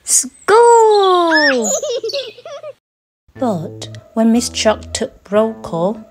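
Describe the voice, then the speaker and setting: A woman storyteller reading aloud to children in an expressive, deliberate, emphatic style. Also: mid-range voice, music in the background.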